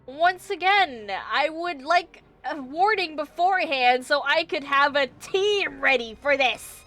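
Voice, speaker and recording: Female game streamer, higher voice, chatty, playful mock complaints, light music behind. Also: a little slow, bright, forceful tone. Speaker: livestreamer. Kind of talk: casual gameplay livestream chat. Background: video game music.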